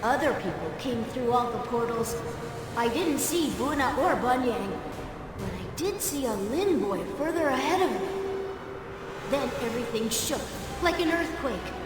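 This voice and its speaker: An actress playing an earnest, brave young female student in a video game, with an eager, halting way of talking. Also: a little high, lively intonation. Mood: earnest, wondering. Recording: very echoey hall, distant, music behind.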